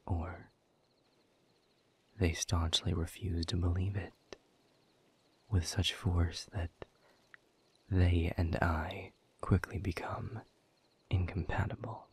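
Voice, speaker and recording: A man playing a weary, bitter exiled demon in an audio drama, speaking in a gravelly, breathy half-whisper with a slow, sardonic delivery. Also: sits low, flat delivery, exaggerated voice. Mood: brooding bitterness. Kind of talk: dramatic audio roleplay monologue to the listener.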